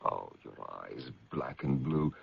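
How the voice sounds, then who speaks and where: A man acting in an old-time radio drama as an earnest, protective, excitable magazine editor, theatrical and swinging from hushed to emphatic. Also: a bass voice, slow pace, creaky, breathy edge, no echo, exaggerated voice. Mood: anguished, indignant. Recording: dull lo-fi radio drama recording.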